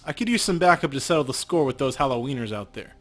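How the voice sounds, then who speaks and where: A man's voice: medium pitch, lively intonation, quick pace, lightly acted. A man voicing a world-weary, wry street runner, reading video game dialogue with tough, streetwise talk. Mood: gruff, persuading. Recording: game music under the voice.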